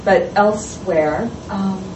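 A woman's voice: medium pitch, slow pace. Hesitant woman asking from the audience. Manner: hesitant, searching for words. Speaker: audience member asking a question. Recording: echoey room, distant mic, noisy.

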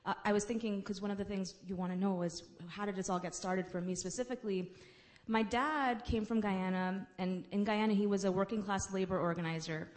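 A woman's voice: mid-range voice, steady tone, fairly quick. A woman from the United States, a lawyer and community organizer, speaking earnestly and personally on a panel to a college audience. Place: college event room.

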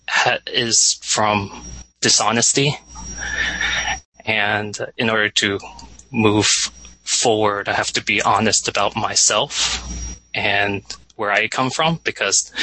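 Young man, college student, slow, halting, lots of ums, very bright edgy tone. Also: lowish voice, rough recording. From United States. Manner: hesitant, halting, many fillers. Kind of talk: personal testimony in a group discussion.

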